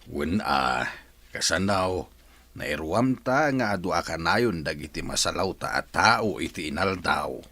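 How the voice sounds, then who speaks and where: A man playing a resigned, steady old village husband in a radio drama. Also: deep voice, unhurried, no echo, full character voice.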